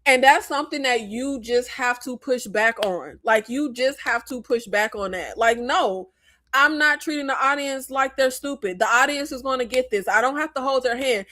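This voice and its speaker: A young woman from Florida with a Floridian Southern lilt in her slang, hosting a casual live chat about movies, emphatic and quick to leap up in pitch when exasperated. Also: bright, forceful tone, dry recording, clean studio sound. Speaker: live stream host discussing movies. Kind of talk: casual live chat reading viewer comments.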